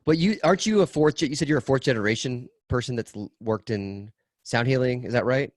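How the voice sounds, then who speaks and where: A man moderating a webinar panel over a video call, speaking haltingly with many fillers. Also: medium pitch, dry recording, studio quality.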